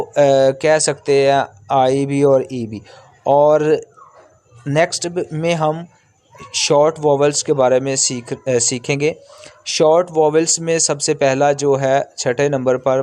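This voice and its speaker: A man's voice: medium pitch, a little mellow. A man giving an instructional language lesson, explaining step by step, repeating himself and sounding out vowels at length. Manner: repetitive, step-by-step explaining with drawn-out sample sounds. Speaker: language teacher.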